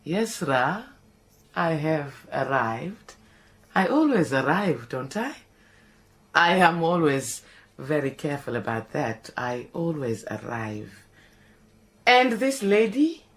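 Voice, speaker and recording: A woman's voice: low voice, very animated, slow, pauses often, heavily acted. A woman voicing a proud, indignant housekeeper, theatrical and prone to wailing. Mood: haughty. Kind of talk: radio drama acting.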